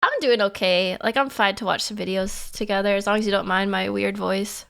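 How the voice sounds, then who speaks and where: A young woman streamer chatting casually and playfully, giggling and teasing herself, her voice hoarse and stuffy from a cold. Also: mid-range voice, fairly quick, fairly bright tone. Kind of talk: casual live-stream chat.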